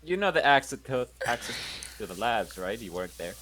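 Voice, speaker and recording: A man's voice: medium pitch, very animated, fairly quick, fairly bright tone, slight background noise, poor audio. A man playing a tabletop roleplaying game, switching between in-character talk and bossy, teasing banter.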